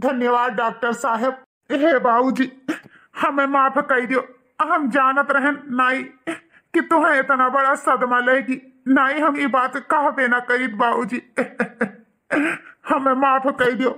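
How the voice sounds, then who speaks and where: A man's voice: very high-pitched, fairly even intonation, slow, pushed and bright, exaggerated voice. A man with an Awadhi accent playing an emotional, hot-tempered young husband and devoted son in a cartoon. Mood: pleading, distressed. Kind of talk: cartoon dialogue.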